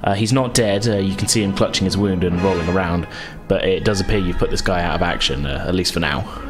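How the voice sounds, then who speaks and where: A man's voice: low-pitched, fast talker. A man narrating a tabletop role-playing game as game master. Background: background music.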